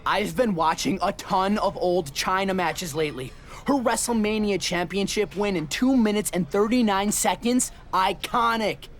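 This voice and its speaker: A woman's voice: medium pitch, moderate pace, a little hiss or hum, close to an everyday voice. A woman playing a snide, taunting wrestling rival in a game, mock-friendly and cutting. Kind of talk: video game cutscene acting.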